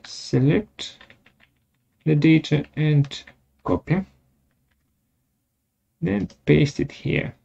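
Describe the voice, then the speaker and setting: A man presenting a step-by-step software tutorial in short, measured, halting phrases. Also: a little high, vocal fry, breathy edge. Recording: dull lo-fi audio.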